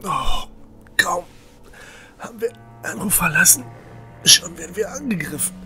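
A woman playing a pious, exasperated young villager in a fantasy audio drama, grumbling with breathy exclamations. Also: on the low side, lively intonation, a little slow, sharp tone, faint music behind, exaggerated voice.

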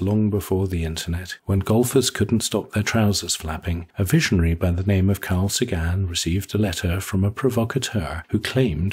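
Audiobook narrator: man, deep pitch, strongly raspy, scripted storytelling read. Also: darkish tone.

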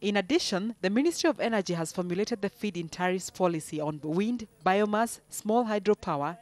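A woman news reporter reading a scripted business news voice-over in a measured, formal way. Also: fairly low pitch.

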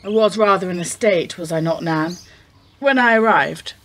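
A woman playing a wistful, distressed genteel lady with formal, measured phrasing in a radio play. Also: on the low side, fairly bright tone, a little hiss or hum. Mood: troubled, resigned.

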